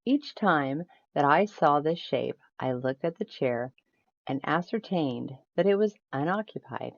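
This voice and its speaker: Slow, low-voiced woman narrating a story. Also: expressive, creaky. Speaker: narrator reading a ghost story aloud. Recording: roomy echo, slightly dull sound.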